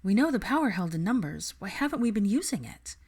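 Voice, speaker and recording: A woman's voice: mid-range voice, sing-song, brisk, darkish tone, slightly rough, pitched above the performer's own voice. A woman playing an earnest, idealistic young witch in a story reading, thoughtful and principled. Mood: earnest, persuasive.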